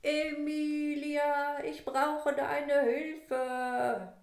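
A woman's voice: mid-range voice, lively intonation, no room sound. A woman voicing a sheepish, apologetic young male Easter bunny in a children's storybook, speaking hesitantly. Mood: pleading. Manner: hesitant, halting.

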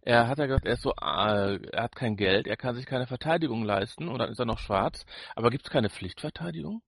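A man's voice: medium pitch, fairly quick, gravelly, husky, dry recording, studio quality. A man with a German accent co-hosting a podcast in a blunt, colloquial, opinionated way, often repeating himself. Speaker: podcast co-host. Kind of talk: casual opinionated chat.

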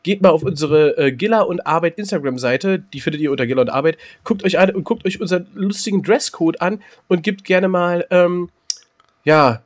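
A man's voice: high-pitched, a little fast. A man co-hosting a podcast in casual, colloquial, joking chat. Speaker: podcast co-host.

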